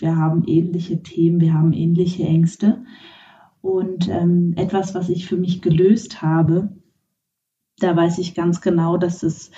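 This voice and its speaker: A woman's voice: fairly low pitch, warm tone. A young adult woman hosting her own podcast, speaking candidly and reflectively about her life, with many fillers and restarts. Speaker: podcast host. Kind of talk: personal podcast monologue. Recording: muffled, slightly distant mic with faint noise.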